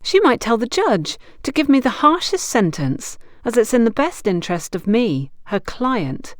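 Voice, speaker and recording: A woman playing a troubled, impulsive young woman, swooping pitch. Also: big pitch swings, normal speed, no room sound, studio quality. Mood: anxious. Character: troubled young woman, needy, impulsive, remorseful half-sister. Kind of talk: audiobook character dialogue.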